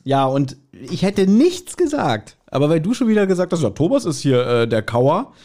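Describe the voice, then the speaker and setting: A man's voice: fairly high pitch, sing-song, normal speed, mellow. A man of around forty co-hosting a podcast in casual chat, telling stories in a teasing, mock-indignant way. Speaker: podcast co-host.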